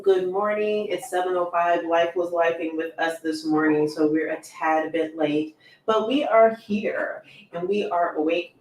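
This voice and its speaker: A woman's voice: on the low side, distant mic. A woman hosting a podcast as a conflict coach, chatting casually, with a strained voice that keeps giving out. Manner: chatty, rambling, with many fillers. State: losing her voice. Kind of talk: casual livestream podcast chat. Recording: echoey room, voice off-mic.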